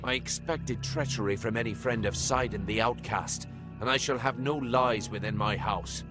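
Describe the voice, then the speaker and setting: A man's voice: medium pitch, moderate pace, over music, natural voice. Man playing a proud, solemn fae lord. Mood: resolute. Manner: grave, formal, stately. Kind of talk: fantasy video game acting.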